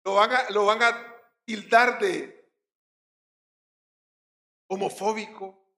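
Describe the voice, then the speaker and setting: A male pastor with a Puerto Rican accent preaching a sermon, swinging between loud stressed words and quiet drops. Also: high voice, fairly even intonation, slow, roomy sound.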